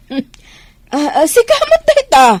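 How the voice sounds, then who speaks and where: A woman's voice: very high voice, big pitch swings, measured pace, no room sound, exaggerated voice. A woman acting a grasping, scolding older Filipino mother-in-law in a radio comedy drama. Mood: nosy, greedy.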